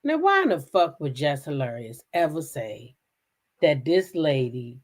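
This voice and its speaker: A woman's voice: low voice, sing-song, unhurried. Blunt, emphatic woman livestream host. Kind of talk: casual live reaction commentary.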